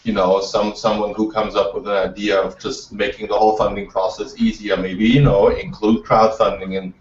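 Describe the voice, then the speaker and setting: A man's voice: on the low side, flat delivery, moderate pace, slight background noise. A man from Austria, talking as an entrepreneur guest, keen and full of restarts and repeated words. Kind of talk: interview answers. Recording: dull, roomy, distant from the mic.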